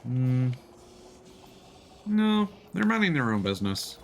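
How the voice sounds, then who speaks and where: A man's voice: medium pitch, very animated, slow pace, vocal fry, slight background noise. A man giving casual live game commentary, thinking aloud and explaining things as he plays. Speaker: streamer, gamer.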